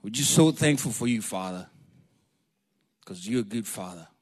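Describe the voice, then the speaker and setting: A man from Africa, a preacher giving a sermon in a lively, joking, storytelling way and calling for amens. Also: fairly low pitch, moderate pace, breathy edge.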